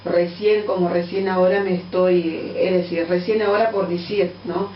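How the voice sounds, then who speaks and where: A middle-aged woman from Angola with a Portuguese-tinged accent, a guest answering interview questions thoughtfully, with many pauses and fillers. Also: fairly low pitch, steady tone, slight background noise. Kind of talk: radio interview. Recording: distant, roomy, dull lo-fi radio sound.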